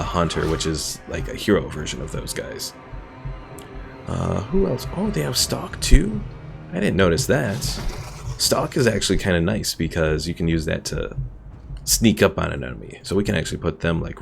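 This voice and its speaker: A man's voice: extremely low pitch, gravelly. A man giving casual livestream commentary on a game and talking with his chat as host. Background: game music.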